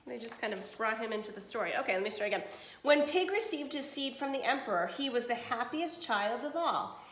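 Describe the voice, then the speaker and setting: A woman reading a children's story aloud with expression, pausing to explain and put questions to her listeners. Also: on the high side, lively intonation, a bit bright, reverberant, slight background noise. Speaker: teacher reading a picture book aloud.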